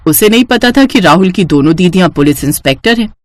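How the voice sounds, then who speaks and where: Female storyteller for scripted family tale; animated melody, dry studio sound. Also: lowish voice, fast, no echo. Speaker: narrator.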